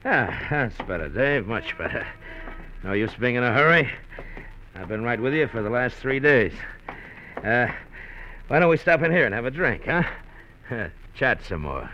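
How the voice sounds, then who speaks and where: An actor playing a smoothly menacing man who stalks his prey with mock politeness, in 1940s radio style. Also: mid-range voice, big pitch swings, unhurried. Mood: gloating. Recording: dull, noisy vintage radio recording.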